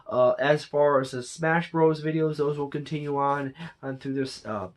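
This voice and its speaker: A person giving a casual, unscripted review monologue in a halting, rambling way, often correcting themselves. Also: medium pitch, steady tone. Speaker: movie reviewer and channel host.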